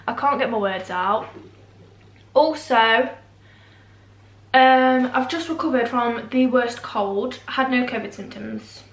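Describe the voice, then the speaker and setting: Young woman, vlogger, higher voice, slightly nasal from a cold, rambling chat, echoey room. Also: takes it slowly, pauses often. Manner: rambling, self-deprecating, lots of fillers. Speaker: beauty vlogger. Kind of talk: casual chatty vlog. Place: room at home, indoors.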